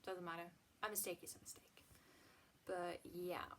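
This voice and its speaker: A Swedish woman in her twenties, a native Swedish speaker, chatting casually to camera in her home hallway, with soft, half-whispered asides. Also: lowish voice, level tone, moderate pace, slight background noise. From Sweden. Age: 20s. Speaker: vlogger. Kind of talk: casual vlog talk to camera.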